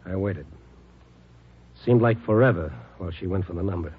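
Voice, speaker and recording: A man's voice: sits low, moderate pace, a little hiss or hum, lightly acted. An American man playing an urgent, world-weary newspaper reporter in a hard-boiled 1950s radio drama. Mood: brooding. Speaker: narrator and lead actor. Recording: dull old radio recording, music behind.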